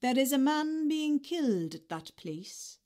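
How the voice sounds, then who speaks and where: A woman voicing a solemn man who talks quietly and gravely in a formal way. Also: high voice, very animated, a little slow, pitched above the performer's own voice. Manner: grave, hushed, formal phrasing.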